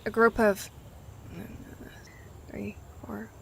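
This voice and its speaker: A woman playing an anxious, fragile apocalypse survivor in an audio drama, soft-spoken and halting. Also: mid-range voice, flat delivery, gravelly, a little hiss or hum. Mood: tense.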